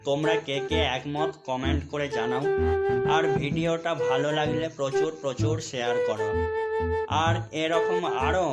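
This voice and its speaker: A man's voice: a little high, moderate pace, natural voice. A man voicing a meek, exasperated henpecked husband in a comedy cartoon, placating and pleading. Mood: weary, resigned. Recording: loud music under the voice. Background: loud music.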